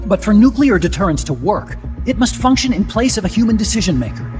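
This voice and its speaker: An actor playing an earnest, disillusioned scientist in a video game, explaining things in a talkative, serious way. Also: male voice, high-pitched, sing-song, quick pace. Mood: confiding, explanatory. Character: earnest, disillusioned male nuclear weapons scientist, unable to walk. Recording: loud music behind. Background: music.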